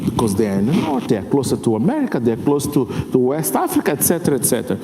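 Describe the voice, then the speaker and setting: A man's voice: mid-range voice, huge pitch swings, rapid-fire, dark tone. A man in his forties from Mozambique, a jazz musician speaking English, talking passionately on a conference panel and often checking whether his listeners follow. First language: Portuguese. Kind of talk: panel discussion. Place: large conference hall stage. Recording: echoey hall with faint ambience.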